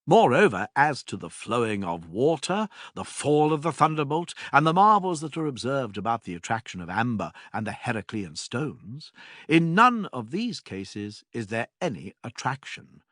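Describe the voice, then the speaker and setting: Expressive male narrator, lively pitch, dry sound. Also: no room sound. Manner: measured, deliberate phrasing with emphatic pauses. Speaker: audiobook narrator reading a philosophical dialogue. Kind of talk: scripted narration of a classical philosophy text.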